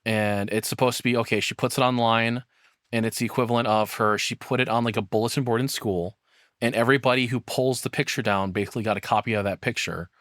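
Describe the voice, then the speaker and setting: A man's voice: medium pitch, fairly even intonation, fast, fairly bright tone, dry recording, clean studio sound. A man giving casual, rambling reaction commentary as a streamer, speaking informally and swearing freely.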